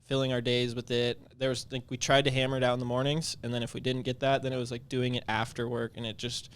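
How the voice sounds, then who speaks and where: Young dude cohosting a podcast, normal pitch, laid back, lots of likes and you knows. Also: male voice, level tone, quick pace, clear, resonant tone. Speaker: podcast co-host. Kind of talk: casual podcast chat.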